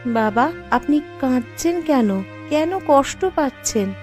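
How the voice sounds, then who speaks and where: A woman's voice: sits high, animated, slow pace, darkish tone, faint music behind. A woman voicing a caring, practical young daughter-in-law in cartoon dialogue. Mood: caring, reassuring. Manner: practical, reassuring.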